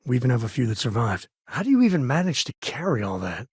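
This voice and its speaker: A man's voice: medium pitch, very animated, fast, husky, dry recording, clean studio sound. An actor playing a rugged, easygoing space ranger and protective father in a video game, with a folksy, wry, conversational manner. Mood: warm, hesitant. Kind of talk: video game character dialogue.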